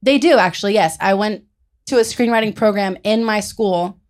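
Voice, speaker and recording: A young adult woman on a panel, defending her view in a measured, casual debating style. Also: medium pitch.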